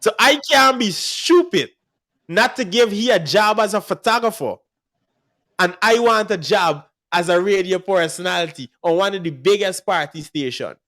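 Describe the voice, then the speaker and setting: A man with a Guyanese accent, hosting a casual music industry chat and telling animated stories with frequent laughs. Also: high voice, moderate pace. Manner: animated storyteller, laughs often. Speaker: podcast host.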